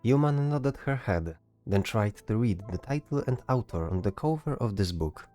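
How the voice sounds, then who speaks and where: Mellow low-voiced man narrating fantasy. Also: expressive, moderate pace. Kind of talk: scripted fantasy story narration. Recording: music behind the voice. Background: music.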